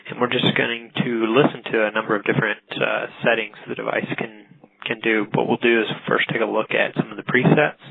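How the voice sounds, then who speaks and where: A man demonstrating a product in a casual, halting, matter-of-fact tutorial narration. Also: medium pitch, level tone, gravelly, slightly rough, narrow, tinny audio.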